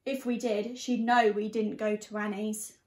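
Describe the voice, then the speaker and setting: A young woman reading a picture book aloud to young children in an expressive, teacherly way. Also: medium pitch, a little slow. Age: young adult. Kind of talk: storybook read-aloud. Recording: echoey room sound.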